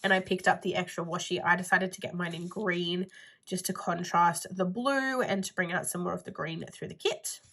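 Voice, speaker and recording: A woman's voice: fairly low pitch, steady tone, normal speed, fairly bright tone. Sniffly, nasal woman chatting. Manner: chatty, rambling with "like" fillers. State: stuffy nose, sniffly. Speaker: planner vlogger. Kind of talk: casual haul video chat.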